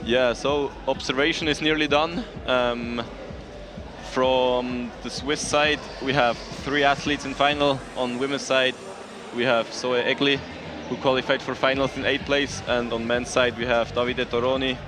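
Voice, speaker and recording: A male event commentator speaks casually and informally, with non-native English phrasing. His pitch is medium and fairly even. Music plays clearly behind him. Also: unhurried. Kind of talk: casual live sports commentary.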